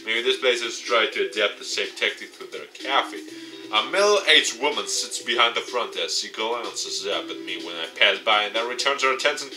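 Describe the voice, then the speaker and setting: Man, lowish voice, animated intonation, dramatized game narration, music behind. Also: moderate pace. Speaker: host reading a game aloud. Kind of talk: dramatized reading of a visual novel.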